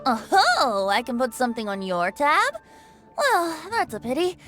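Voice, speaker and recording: Breezy teasing bard boy for an anime game, high voice, really lilting, bit of music. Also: wildly swooping pitch, unhurried, full character voice. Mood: playful. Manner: breezy, playful, theatrical. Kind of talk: anime-style game voice acting. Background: soft game music.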